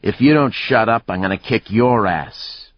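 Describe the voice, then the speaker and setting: A man's voice: low-pitched, unhurried, husky, no echo, highs cut off. A man voicing a violent, foul-mouthed older outlaw in an audiobook, curt and threatening. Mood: menacing.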